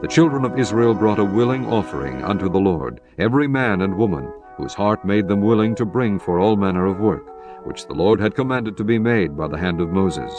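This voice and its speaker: A man reading scripture as a narrator, in a measured, formal scripted style. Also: fairly low pitch, animated. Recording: music bed behind the voice.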